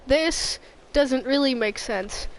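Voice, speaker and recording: A woman's voice: high voice, slow, low-quality recording. A woman streaming a game with casual, rambling commentary, playful and dryly sarcastic.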